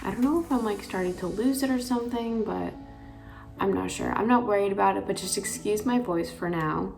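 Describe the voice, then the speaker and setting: A young woman with a raspy voice, chatting casually through a makeup tutorial with lots of filler words. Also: mid-range voice. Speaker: beauty vlogger.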